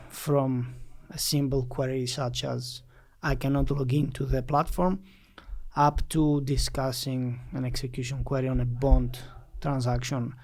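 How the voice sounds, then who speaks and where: A man with a Greek accent talks about his work as an interview guest. His voice is creaky and mid-pitched. He speaks haltingly, filling the gaps as he searches for phrasing. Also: a little mellow. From Greece. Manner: halting, hesitant phrasing with frequent fillers. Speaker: podcast guest, finance company director. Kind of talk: interview answers recounting a career.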